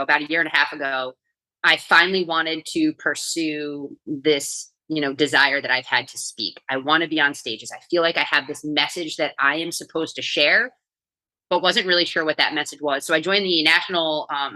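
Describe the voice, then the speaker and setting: A middle-aged woman from New York with a low voice and a bright, forceful edge. She tells her story in a chatty, energetic way as a podcast guest. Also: low-quality recording. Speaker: guest, coach and author. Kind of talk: casual podcast interview.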